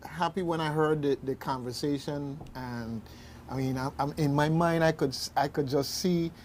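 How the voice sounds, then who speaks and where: A man hosting casual radio talk, halting and rambling, often repeating his phrases. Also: a little high, fairly even intonation. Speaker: radio talk show host. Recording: faint background noise.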